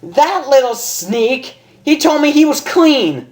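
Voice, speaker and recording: A man voicing an excitable, dramatic, lovestruck young female pegasus pony in a story reading. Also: very high-pitched, slow, pitched above the performer's own voice, heavily acted. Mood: frantic. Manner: yelping, emotional, dramatic.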